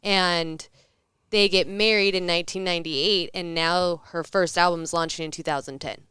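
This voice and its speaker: A woman with a New Jersey accent co-hosting a casual podcast, chiming in with wry, quick asides. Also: on the low side, steady tone, pushed and bright, smooth, dry recording. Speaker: podcast co-host.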